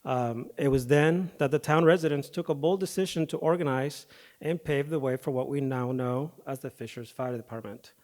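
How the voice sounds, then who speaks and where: Firefighter giving a speech at the podium. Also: male voice, mid-range voice, normal speed. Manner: hesitant start with fillers, then steady reading of a prepared speech. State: voice affected by recent dental work and illness. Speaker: firefighter paramedic and foundation president. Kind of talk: prepared speech at an awards podium. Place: awards ceremony hall. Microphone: podium microphone.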